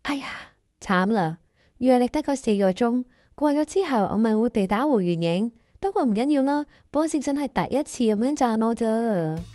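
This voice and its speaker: A woman's voice: on the high side, dark tone, lightly acted. A woman playing an eager, anxious junior employee who also presents a comedic workplace radio drama. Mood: worried.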